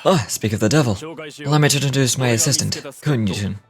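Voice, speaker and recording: A man's voice: mid-range voice, fast, natural voice. A man performs a self-assured middle-aged foreman in a fantasy game. He chats informally and likes to boast. Music plays behind his words. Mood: proud.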